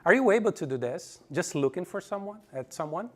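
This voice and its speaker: Slightly high-voiced man giving a talk. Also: lively intonation, fairly quick, fairly bright tone. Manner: loose, repetitive, many restarts. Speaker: conference presenter. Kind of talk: presentation talk with audience quiz.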